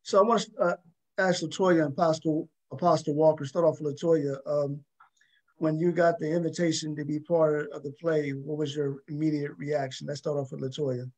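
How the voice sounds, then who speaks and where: A man hosting a casual interview show, speaking in a halting way and often repeating his words. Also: fairly high pitch, little pitch movement, a little mellow, rough recording. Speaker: talk show host.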